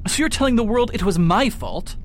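An actor playing a proud, pompous detective in a radio drama, lofty and defensive in manner. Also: male voice, sits high, very animated, brisk, a little hiss or hum. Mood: indignant. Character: proud pompous male detective, middle-aged.

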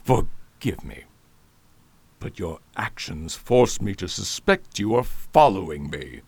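A man playing a scheming villainous doctor, speaking in a silky, slow, quietly threatening way in a radio drama. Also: low-pitched, very animated, creaky, breathy edge, no echo, heavily acted. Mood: suspicious. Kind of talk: amateur radio drama acting.

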